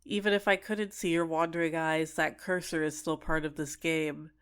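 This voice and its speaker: A woman's voice: sits low, flat delivery, normal speed, fairly bright tone, smooth. A woman playing an obsessive, sentient dating-sim character in an ASMR roleplay, clingy and coaxing with menacing sweetness. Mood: hurt, possessive. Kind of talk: scripted ASMR roleplay monologue.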